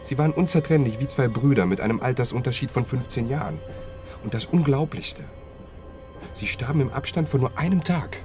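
A man's voice: medium pitch, fairly quick, lightly acted. An actor playing an easygoing, merry young Serbian man with a drowsy, amused manner in a radio crime play. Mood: recalling. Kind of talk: German radio crime drama acting. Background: music.

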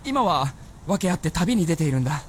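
A woman's voice: low voice, very animated, brisk, slight background noise. A woman acting as a hot-headed, naive young hunter boy in a radio drama, blustering and stammering in rustic boyish speech. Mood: earnest.

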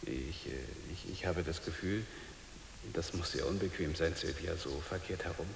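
A man playing a troubled middle-aged father in a radio drama, halting and repeating himself as he clings to hope. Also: low voice, gravelly. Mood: anxious. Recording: hissy, echoey reverberant hall.

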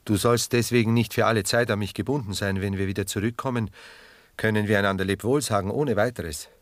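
An actor playing a reflective, tender, melancholy older lover in a radio drama, speaking in a measured literary way. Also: male voice, fairly low pitch, fairly even intonation, dry recording, close to an everyday voice. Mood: earnest, restrained. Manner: measured, literary, musing delivery. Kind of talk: 1960s German radio drama acting.

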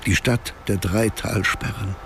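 An actor as a brooding storyteller, narrating a radio drama in a solemn, ominous, literary manner. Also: male voice, fairly low pitch, fairly even intonation, unhurried, background noise. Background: music.

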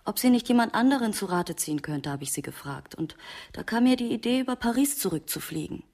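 An actress playing a murder victim's grown daughter, a new mother, subdued and measured in a radio crime drama. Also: a little high, lively intonation, darkish tone. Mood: reflective.

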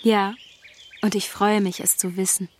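An actress playing a gentle, devoted young Victorian woman in a radio drama, speaking softly and earnestly with a husky voice. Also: medium pitch, little pitch movement, measured pace, slight background noise, lightly acted. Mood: tender, moved. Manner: earnest, solemn. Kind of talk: literary radio drama acting.

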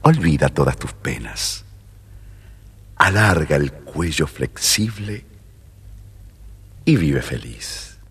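A man's voice: extremely low pitch, very animated, slow pace, pauses often, fairly bright tone, breathy edge. A man narrating a scripted children's story expressively as storyteller.

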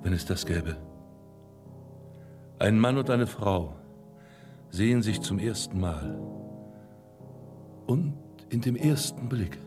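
Bedrohlicher, herrischer Arzt und Verführer. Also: male voice, fairly low pitch, animated, over music. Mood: silky menace. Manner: clipped commands, slow dramatic pauses. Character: menacing, domineering hypnotist doctor, sinister seducer and villain. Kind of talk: German radio drama acting.

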